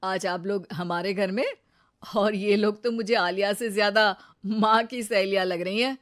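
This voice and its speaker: A woman's voice: mid-range voice, fairly even intonation, moderate pace, lightly acted. A woman voicing a dutiful young husband and devoted son in a cartoon story, earnest and coaxing. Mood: caring.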